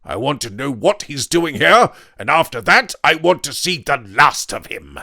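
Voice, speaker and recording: A man reading an audiobook, voicing Weston, a massive, loud-voiced male physicist who is curt and overbearing. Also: on the high side, big pitch swings, moderate pace, creaky, dry recording, studio quality, pitched above the performer's own voice, heavily acted. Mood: contemptuous.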